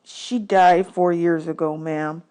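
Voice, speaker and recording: A woman's voice: fairly low pitch, steady tone, takes it slowly, dark tone, clean tone. A woman reading a storybook, voicing a polite, talkative young orphan boy in a respectful, matter-of-fact way.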